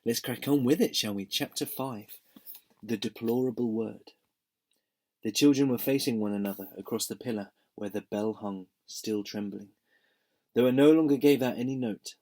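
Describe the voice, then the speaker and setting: Slightly croaky man reading a story. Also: mid-range voice, normal speed, mellow. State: slightly hoarse from a sore throat. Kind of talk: reading a children's book aloud.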